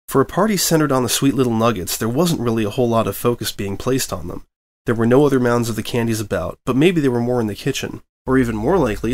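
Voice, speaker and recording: A man narrates a written story aloud. His mid-pitched voice carries the scripted prose expressively, as a reader of fan fiction would.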